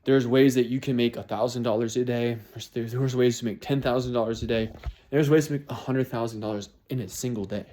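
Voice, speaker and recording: A man's voice: mid-range voice, fairly even intonation, a little fast, mellow. A man in his twenties talking through his New Year's resolutions in a casual, motivational way, often repeating and restarting phrases. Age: 20s. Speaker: vlogger.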